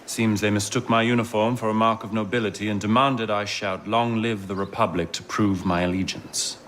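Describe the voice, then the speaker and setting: An actor playing a wry, cynical French army officer in a video game, with a dry, measured delivery. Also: male voice, lowish voice, steady tone, a bit bright, a little hiss or hum, close to an everyday voice. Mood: cynical, bitter. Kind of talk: video game cinematic acting. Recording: background music under the voice.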